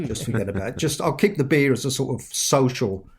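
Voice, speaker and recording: Middle-aged london guy on a video call, chatty, jokes around, mid pitch. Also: animated, a little fast, husky. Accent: London English. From England. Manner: chatty, teasing, laughs while talking. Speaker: author guest. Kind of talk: casual podcast chat.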